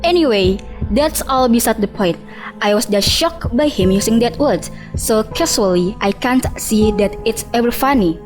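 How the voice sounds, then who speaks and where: Radio drama role: junior office worker played by a woman, aggrieved, chatty and unsure, slightly high voice, faint music behind. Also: expressive, moderate pace, natural voice. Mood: offended, defensive. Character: offended junior female employee giving testimony, hesitant, informal.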